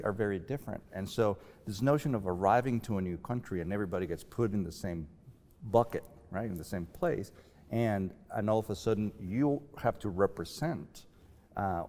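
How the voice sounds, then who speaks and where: A man's voice: lowish voice, warm tone. A man from Mexico, a professor taking part as a guest in a discussion, speaking thoughtfully with frequent restarts. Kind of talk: conversational discussion. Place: large library reading room. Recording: echoey library reading room.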